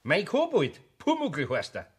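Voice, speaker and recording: An actor playing a good-natured, patient older carpenter with a Bavarian accent in a German children's radio play, folksy and conversational. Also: male voice, high voice, huge pitch swings, a little slow, husky, heavily acted. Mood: earnest.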